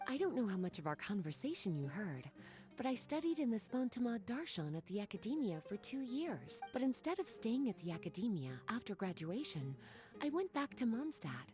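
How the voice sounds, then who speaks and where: A woman's voice: a little high, very animated, brisk, dark tone, faint music behind. An actress playing a flirtatious, worldly woman librarian in a video game, speaking in a coy, leisurely and teasing manner. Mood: relaxed, coy. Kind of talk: video game dialogue acting.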